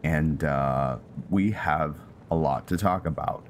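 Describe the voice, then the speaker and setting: A man hosting a roleplay game video, narrating casually in a hesitant, unhurried way. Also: a bass voice, slight background noise. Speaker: roleplay channel host.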